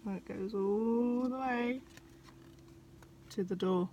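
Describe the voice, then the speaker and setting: A woman reading a children's picture book like a teacher, expressive, with dramatic pauses, over background noise. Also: fairly high pitch, highs cut off. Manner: dramatic pauses, addresses young listeners.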